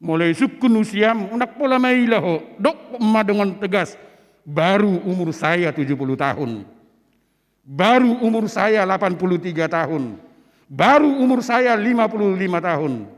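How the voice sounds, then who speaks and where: A man's voice: high-pitched, a bit bright. A man preaching in church, moving between two languages and rising to emphatic peaks. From Indonesia. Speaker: pastor. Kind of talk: sermon. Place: large church. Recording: long church hall reverb.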